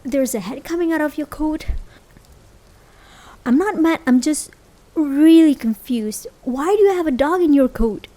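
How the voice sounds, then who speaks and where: A woman's voice: high voice, lively intonation, a little slow, long pauses, mellow. A woman acting a caring, worried, hesitant partner in a realistic slice-of-life ASMR roleplay. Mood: puzzled, anxious. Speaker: amateur voice actor. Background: rain sound effects.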